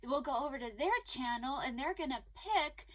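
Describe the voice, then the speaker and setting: Upbeat woman vlogger with a high voice, slightly hoarse, rambling chat full of ums. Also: animated, moderate pace, a bit bright. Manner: chatty, rambling with many ums and restarts; eager and upbeat. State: slightly hoarse, losing her voice. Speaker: crochet vlogger. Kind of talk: casual chat to viewers.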